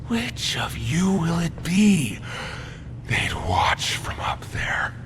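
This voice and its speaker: A man voicing a haunted, bitter toy creature in a horror game, with hushed, halting, breathy delivery. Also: a little high, wildly swooping pitch, a little hiss or hum, exaggerated voice. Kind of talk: dramatic horror game acting.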